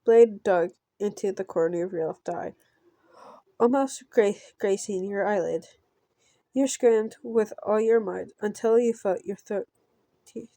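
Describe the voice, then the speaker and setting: A woman reading visual novel text aloud in an amateur let's play, halting and often repeating words as she goes. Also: medium pitch, mellow, no room sound.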